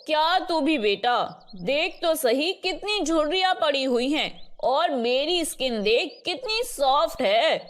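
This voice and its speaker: A woman's voice: high-pitched, expressive, slow, few pauses. A woman voicing a vain, competitive middle-aged mother-in-law in a comic family story, boastful, teasing and stubborn. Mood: vain one-upping.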